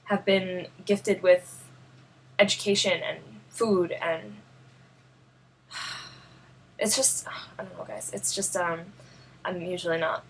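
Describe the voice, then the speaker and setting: A young woman with a New York accent, born in Russia, talking earnestly and haltingly to camera in a personal vlog, often searching for words. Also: fairly low pitch, steady tone, slight background noise, low-quality recording. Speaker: vlogger.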